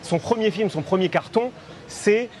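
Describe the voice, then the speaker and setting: A man's voice: sits high, moderate pace, darkish tone, a little hiss or hum. A man presenting a film segment on a talk show, enthusiastic and full of restarts. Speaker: film columnist. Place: outdoors at a café terrace.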